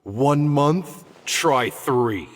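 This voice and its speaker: A man's voice: medium pitch, expressive, drawn-out words, a little hiss or hum. A man voicing an irate older master scholar in a video game, theatrical, sarcastic and berating. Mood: smug.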